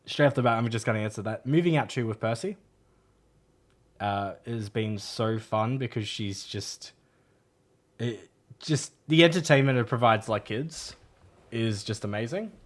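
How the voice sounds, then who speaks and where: A man's voice: medium pitch, lively intonation, moderate pace. A man chatting casually about games as a co-host on a podcast.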